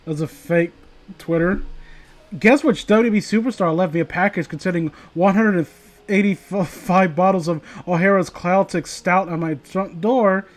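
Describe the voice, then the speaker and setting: High-voiced man rambling on a stream. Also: normal speed. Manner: sweary, informal, rambling, often breaking off mid-sentence. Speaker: streamer reading stories aloud to viewers. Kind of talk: casual livestream reading with mocking commentary.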